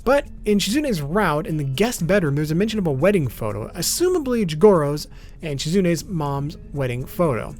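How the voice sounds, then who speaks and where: A man's voice: a little high, very animated, moderate pace, a little mellow. A man hosting an explainer video, reading scripted narration with casual asides and an informal, slightly stumbling delivery. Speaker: video host. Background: background music.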